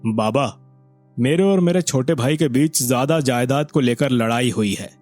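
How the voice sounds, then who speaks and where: A selfish elder son from a village tale, voiced by a man, talks in a medium-pitched voice. He is impatient and arrogant, brusque and prone to complaint. Faint music runs underneath. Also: moderate pace, darkish tone, no echo, natural voice. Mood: puzzled. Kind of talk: acted moral story dialogue.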